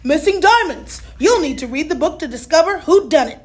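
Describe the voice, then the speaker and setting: Brusque, animated detective for a kids' storybook, woman performing, hurried delivery. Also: high-pitched. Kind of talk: children's storybook read-aloud.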